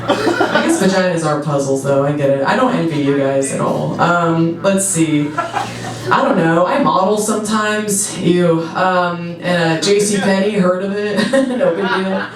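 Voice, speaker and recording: A woman in her thirties with a deep voice for a woman, doing a laid-back stand-up set with lots of fillers and giggles. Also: unhurried. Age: 30s. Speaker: stand-up comedian. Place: comedy club stage. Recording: echoey club, voice from a distance, crowd. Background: audience laughing.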